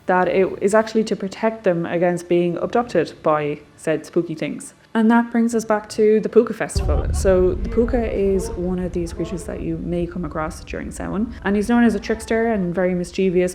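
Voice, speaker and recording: A woman's voice: on the low side, a little fast, hardly any pauses, dark tone. Chatty Irish woman vlogging outdoors. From Ireland. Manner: chatty, storytelling, lots of "like" fillers. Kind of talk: casual outdoor travel vlog. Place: outdoors in countryside.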